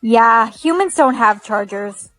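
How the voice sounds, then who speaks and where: A woman's voice: on the high side, expressive, slow pace, a bit bright, faint music behind. A woman playing a cautious young human explaining things matter-of-factly in a short skit. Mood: cautioning. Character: cautious young human Jake who befriends a robot. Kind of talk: short comedic skit acting.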